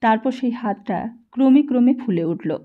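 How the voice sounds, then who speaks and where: A woman's voice: on the high side, animated, normal speed, phone-quality sound. A woman narrator reading a literary story as a scripted audiobook.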